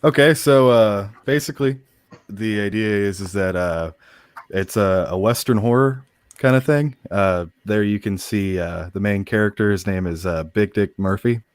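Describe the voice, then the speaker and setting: Male guest, very low voice, dry close sound, relaxed halting delivery, full of filler words. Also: clean tone, no echo. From English- and German-speaking. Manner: laid-back, halting with many fillers. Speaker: guest comic creator. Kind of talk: casual podcast chat.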